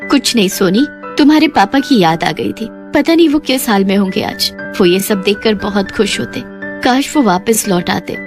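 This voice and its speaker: A woman acts a tender, poor mother in a children's story. She speaks affectionately and soothingly at a middle pitch, with faint music behind her. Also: lively intonation, moderate pace, lightly acted. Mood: sad, longing. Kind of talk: children's moral story voice acting.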